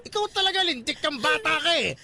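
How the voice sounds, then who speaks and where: Cranky big dad yelling at a kid, a guy acting it, high voice, loud noise behind. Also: sing-song, a little fast, exaggerated voice. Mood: scolding. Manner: scolding, bullying. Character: big, grumpy middle-aged village father.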